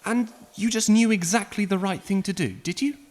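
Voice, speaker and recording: A man voicing an anxious young man who asks high, strained, incredulous questions. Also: normal speed, a little mellow. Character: anxious tall young man, wide-eyed, nervous. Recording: faint music behind.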